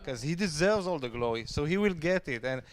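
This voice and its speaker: A man's voice: fairly high pitch, animated, fairly quick. A man talking emphatically on a casual livestream, repeating phrases for stress.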